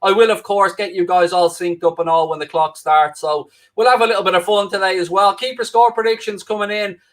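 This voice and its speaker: An Irish man with a mixed Dublin and Wicklow accent hosts a live football watchalong, reading out viewer comments. His voice sits high for a man, and his talk is cheeky, self-mocking banter. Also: fairly even intonation, brisk. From Ireland. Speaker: fill-in host of a football watchalong. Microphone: new microphone, close.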